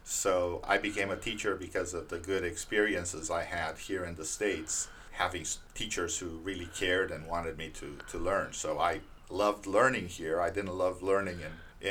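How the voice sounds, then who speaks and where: A man's voice: sits low, steady tone, normal speed, hardly any pauses, pushed and bright, a little hiss or hum. A middle-aged man from Romania with a Romanian accent, a teacher giving earnest, plain-spoken interview answers. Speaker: literacy teacher, guest.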